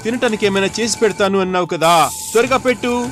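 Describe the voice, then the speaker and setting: A man voicing a mischievous, idle young son who pleads eagerly with his parents in an animated story. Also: sits high, fast talker, soft background music. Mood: impatient, curious. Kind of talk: animated moral story dialogue.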